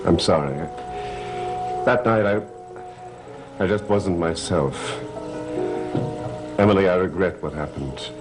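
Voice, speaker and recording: An actor playing a brooding, troubled master of the house in a gothic soap, earnest and restrained. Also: male voice, low-pitched, takes it slowly, long pauses, over music. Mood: regretful, torn. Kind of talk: gothic soap opera acting.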